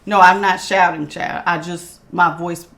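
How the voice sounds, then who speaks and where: A woman's voice: on the low side, fairly even intonation, measured pace, a bit bright. A woman giving a casual tarot reading to her viewers, with a strong, projecting voice and an emphatic, authoritative way of talking that repeats phrases for stress. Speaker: tarot reader.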